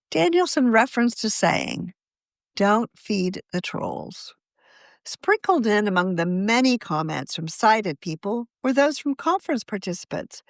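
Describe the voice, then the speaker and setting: A woman narrates a written feature in a steady, measured read. Her voice sits at an ordinary middle pitch. The recording is dry and close, with no room sound. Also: no echo. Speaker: deputy editor reading her own article. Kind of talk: scripted article narration.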